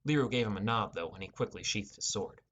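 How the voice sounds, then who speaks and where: A man's voice: on the low side, quick pace, a bit bright. A man narrating a scripted first-person fantasy adventure as an audiobook.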